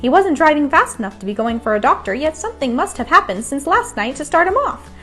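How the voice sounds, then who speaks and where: A woman's voice: high-pitched, very animated, fast, fairly bright tone, soft background music, pitched above the performer's own voice. A woman voicing a nosy, opinionated country housewife in a storybook, with an emphatic, chatty, folksy manner. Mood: puzzled, insistent. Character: nosy, opinionated middle-aged country housewife, puzzled and judging.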